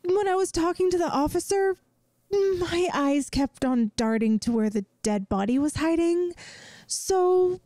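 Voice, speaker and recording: A woman's voice: very high-pitched, expressive, measured pace, mellow, dry recording. A woman playing a bubbly, inept, lovestruck young yandere student in an audio roleplay, rambling and pleading dramatically with the listener. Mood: exasperated, rambling. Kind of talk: scripted ASMR audio roleplay, addressing the listener.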